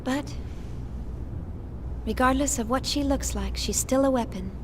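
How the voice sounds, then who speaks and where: An actress voicing a kind, earnest young female researcher who speaks gently and a little hesitantly in a game cutscene. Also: sits high, a little slow, noisy background. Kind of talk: video game cutscene voice acting.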